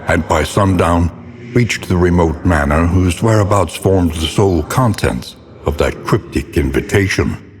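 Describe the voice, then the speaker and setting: Grave old scholar narrating a gothic horror game, really deep voice, solemn n deliberate, big long reverb. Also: male voice. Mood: grave, brooding. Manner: solemn, ornate, deliberate diction. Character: grave, erudite older male scholar recounting dark occult past. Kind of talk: dramatic scripted gothic narration.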